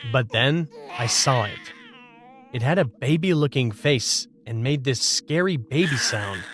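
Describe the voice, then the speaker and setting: A man's voice: mid-range voice, fairly bright tone, slight background noise. A man narrating a scripted first-person horror story as a storyteller.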